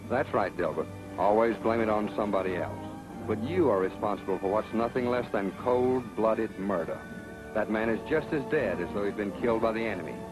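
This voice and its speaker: A man's voice: on the low side, lively intonation, fairly quick, low-quality recording. A man narrating a 1940s training film in an authoritative, sardonic manner. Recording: old 1940s film soundtrack with music.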